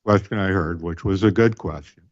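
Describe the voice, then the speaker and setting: A man speaking as a city councillor at a meeting, slow and deliberate. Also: low voice. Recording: distant, dull mic.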